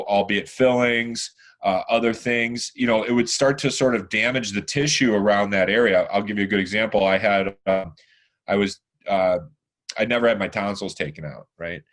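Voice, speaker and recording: A man in his forties with a deep, hoarse voice, talking at length as a podcast guest, rambling with lots of fillers and restarts. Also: moderate pace. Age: 40s. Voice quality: deeper, hoarse voice. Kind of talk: casual chat.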